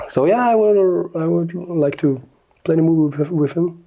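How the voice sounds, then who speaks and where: A man's voice: a little high, normal speed, lo-fi sound. A young man from Austria with an Austrian German accent, a German speaker chatting easily as an interview guest. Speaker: guest influencer. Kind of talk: casual interview chat.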